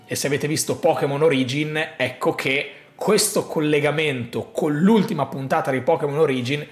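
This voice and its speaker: A man, giving an expressive, emphatic explanatory commentary to viewers as a video host. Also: on the high side, normal speed. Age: 30s. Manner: expressive, emphatic, with rhetorical questions. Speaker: video host, gaming content creator. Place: small indoor room set up as a gaming studio. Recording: roomy sound with faint music underneath. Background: faint music.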